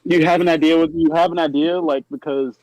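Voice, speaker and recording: A man's voice: fairly high pitch, fairly even intonation, brisk, darkish tone, no room sound, low-quality recording. A man from New York co-hosting a live stream, telling personal stories casually and circling back to rephrase as he goes. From New York, United States. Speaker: live stream podcast co-host. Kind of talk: casual chat, personal storytelling.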